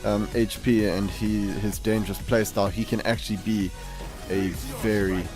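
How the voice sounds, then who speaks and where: A man hosting a gaming video with casual, rambling commentary, halting and correcting himself as he goes. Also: on the low side, level tone, music in the background. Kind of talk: casual game showcase commentary. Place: voice-over on game capture.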